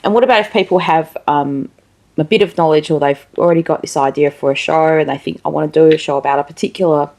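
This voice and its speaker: A woman's voice: low-pitched, fairly even intonation, fast talker, darkish tone. A woman hosting a casual interview, asking informal questions built around examples. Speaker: interviewer, show host.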